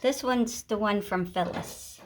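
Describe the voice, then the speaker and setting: A woman's voice: medium pitch, a little slow. Woman chiming in plainly off camera. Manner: brief, matter-of-fact replies. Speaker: host's wife filming. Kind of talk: casual chat from behind the camera.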